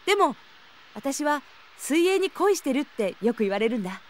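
A frank, sporty young woman in an audio drama. She talks casually and a little tomboyishly in a high voice. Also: big pitch swings, moderate pace. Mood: friendly, frank. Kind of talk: Japanese audio drama acting.

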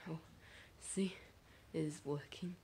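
A woman presenting a casual home unboxing in halting, short, fragmented phrases. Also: low-pitched, steady tone, mellow. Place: carpeted room at home, indoors. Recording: faint room noise.

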